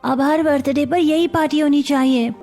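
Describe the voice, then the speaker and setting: A woman voicing an excited, gushing young schoolboy in a cartoon story. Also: high-pitched, moderate pace, soft background music. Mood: delighted. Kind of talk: Hindi cartoon story acting.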